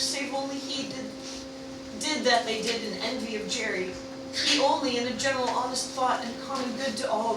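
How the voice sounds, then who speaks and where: A woman's voice: high-pitched, lightly acted. A woman playing a solemn noble victor, delivering a Shakespearean eulogy for a fallen foe in a radio play. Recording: very echoey, noisy, far from mic.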